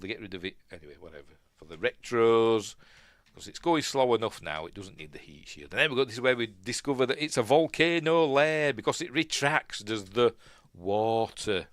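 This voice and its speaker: Rambling Yorkshire man, low voice. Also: no room sound. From Yorkshire, England. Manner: rambling, musing, second-guesses himself, trails off quietly. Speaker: film review host. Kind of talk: casual watch-along film commentary.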